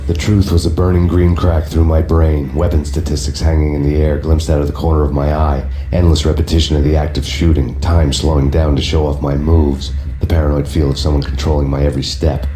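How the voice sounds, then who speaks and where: An actor playing a brooding, world-weary cop, narrating in flat, deadpan hardboiled noir style. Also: male voice, a bass voice, fairly quick, few pauses. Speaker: narrator, game protagonist. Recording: roomy echo with loud music score.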